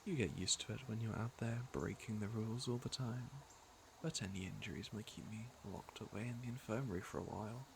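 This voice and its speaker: Man playing a gravelly, flirtatious fantasy villain. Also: on the low side, a little fast, noisy background. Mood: teasing, flirtatious. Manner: smooth-talking, teasing, intimate. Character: flirtatious, teasing, secretly caring male fantasy villain. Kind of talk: ASMR roleplay acting, intimate second-person address.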